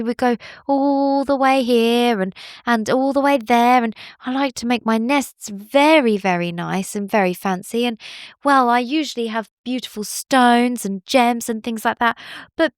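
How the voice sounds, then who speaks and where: A woman's voice: fairly high pitch, dry recording, clean studio sound, pitched above the performer's own voice, close to an everyday voice. A woman voicing a fussy, emotional male bowerbird who loves fancy things, with a fluttery, dramatic manner.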